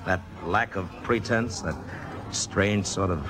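An actor playing a wry, affectionate boyfriend who teases, in 1950s radio drama style. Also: male voice, low-pitched, takes it slowly, fairly bright tone. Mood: teasing, fond. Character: wry, affectionate man, teasing his jealous sweetheart. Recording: old radio broadcast, music behind.

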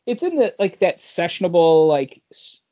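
Man, podcast co-host, lively varied pitch, casual chat, dry but slightly dull lo-fi recording. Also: normal speed, no room sound.

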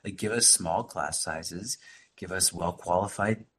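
A man with a very deep, low-pitched voice talks through his points as a guest on a podcast, stressing them hard and checking in with "right?" as he goes. He sounds like a teacher explaining things. A faint hiss of noise sits under the recording. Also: measured pace, fairly bright tone. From Massachusetts. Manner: emphatic, explanatory, fillers like "right?" and "like". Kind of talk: conversational podcast interview.

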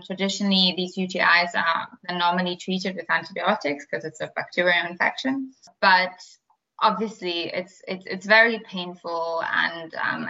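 German-accented woman, bright and lo-fi. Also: fairly low pitch. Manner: frequent fillers and repeated words, explanatory. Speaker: guest, biotech founder. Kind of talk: interview answers.

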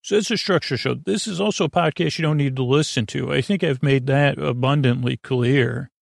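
A man hosting a sleep podcast in a casual, meandering monologue, halting and repeating himself as he drifts into tangents. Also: mid-range voice, vocal fry, no room sound, studio quality. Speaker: podcast host.

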